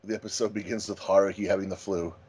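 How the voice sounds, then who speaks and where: A man's voice: deep voice, little pitch movement, quick pace, a little mellow, slight background noise. A man hosting a casual commentary track as a fan dub director, chatty and jokey.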